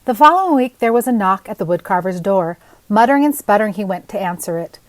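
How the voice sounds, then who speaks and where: A woman's voice: mid-range voice, expressive, quick pace, dry recording. An older woman, narrating a children's story aloud with expression. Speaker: storybook reader.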